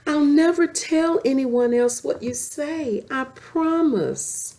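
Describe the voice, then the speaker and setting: A woman's voice: high-pitched, expressive, slow, dark tone, voice pushed up, full character voice. A woman voicing a friendly young male lion cub in a children's storybook, with an eager, pleading manner.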